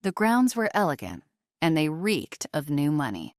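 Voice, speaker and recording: A woman's voice: deep voice, wildly swooping pitch, normal speed, a little mellow, no room sound, studio quality. A woman narrating a scripted audio story in a dramatic storytelling style.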